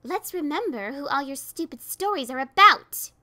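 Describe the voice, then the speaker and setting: A woman's voice: on the high side, slow pace. A woman voicing a grumpy, easily riled gray pegasus mare with an exasperated, fuming manner. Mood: furious. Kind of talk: audiobook character reading.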